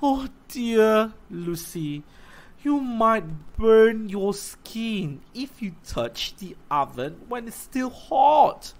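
A woman doing a gentle worried storybook mother. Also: a little high, big pitch swings, extremely slow, pitched above the performer's own voice, full character voice. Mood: cautioning. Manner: soft, cautioning, sing-song. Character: gentle worried mother warning her child.

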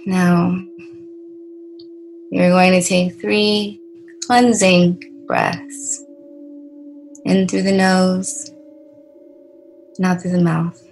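A woman leading a guided meditation in a soothing, deliberate way, leaving long pauses between short phrases. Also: fairly low pitch, sing-song, gravelly, a little mellow, faint music behind.

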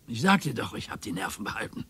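An actor playing a grumpy, bored older retiree and former master craftsman in a radio play, with a husky, gravelly voice. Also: lowish voice, very animated, fast, fairly bright tone, heavily acted. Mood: defensive. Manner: grumbling and defensive.

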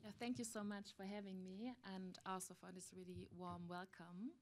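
A woman's voice: mid-range voice, flat delivery, normal speed. A young woman from Germany with a German accent, speaking as a researcher on a conference panel, thoughtful with fillers, into a table microphone. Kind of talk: panel talk.

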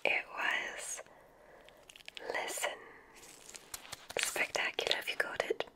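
A woman plays a kindly witch examiner at a magic school in an ASMR roleplay. She whispers slowly and soothingly, with careful, reassuring instructions. The sound is very bright and sharp, with a breathy texture.

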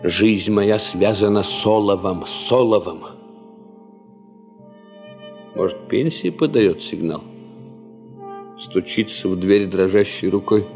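An actor playing a weary, dour middle-aged geologist boss, terse and brooding, in a 1970s Soviet radio play. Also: male voice, lowish voice, measured pace, darkish tone, faint music behind. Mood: brooding, bitter.

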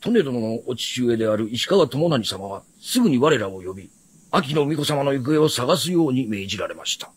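An actor playing a stern retainer of a noble house, speaking formal, archaic Japanese with haughty deference in a period fantasy radio drama. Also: male voice, mid-range voice, big pitch swings, a little fast, breathy edge. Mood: solemn, formal.

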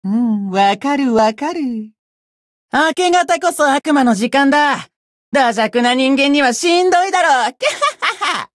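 A woman voicing a cocky, bratty young demon who boasts pompously in rough slang, in a Japanese game character style. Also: high voice, lively intonation, unhurried, no room sound, clean studio sound. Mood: boastful, gleeful.

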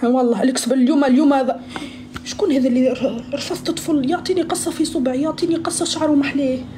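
Fast-talking high-voiced young woman streamer. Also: steady tone, background noise. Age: 20s. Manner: playful, mock-pious teasing, switching dialects. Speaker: live-stream host. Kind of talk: teasing live-stream chat. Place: small room indoors. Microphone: phone at arm's length.

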